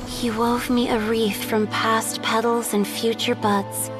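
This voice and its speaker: A woman voicing a tender, poetic water spirit in a video game, with soft, lyrical, measured delivery. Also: on the high side, level tone, music in the background. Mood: tender, wistful.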